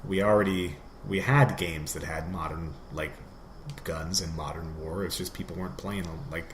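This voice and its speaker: Male podcast co-host, deep-ish voice, loose rambling gamer chat, roomy sound with background noise. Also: fairly even intonation, normal speed. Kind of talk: casual gaming chat.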